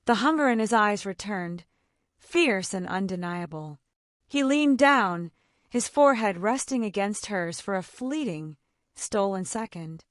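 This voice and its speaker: A woman narrating a dramatic romance story in a scripted, expressive reading. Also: mid-range voice, measured pace, dry recording, clean studio sound.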